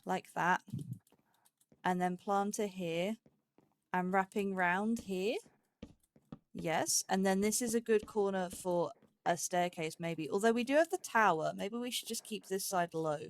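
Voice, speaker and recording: A woman's voice: medium pitch, a little slow, slight background noise. A woman giving casual gameplay commentary as host, thinking aloud in short, broken phrases and playfully talking to herself. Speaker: let's play host.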